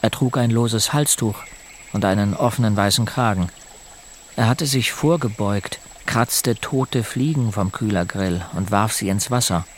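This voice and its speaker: An actor narrating a first-person story of boyhood in a German audio drama, plain and measured. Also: male voice, fairly low pitch, slight background noise, natural voice. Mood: observant. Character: male narrator looking back on a rough boyhood. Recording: faint music behind.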